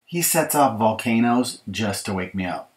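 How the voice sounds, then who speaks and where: A man's voice: on the low side, animated, moderate pace, very noisy, close to an everyday voice. A man reading a children's picture book as an exasperated young girl complaining about her bratty little brother, emphatic and mock-aggrieved. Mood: dramatic complaint. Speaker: author reading his audiobook.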